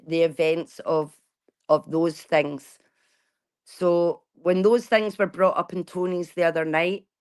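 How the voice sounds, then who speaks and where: Woman, 40s, slightly low voice, blunt, casual chat, swears freely. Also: clean tone. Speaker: vlogger.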